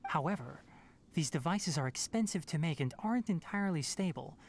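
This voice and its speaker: A woman voicing a calm, analytical young male scholar in a video game, measured and precise as she explains things. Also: low-pitched, a little hiss or hum. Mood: explanatory.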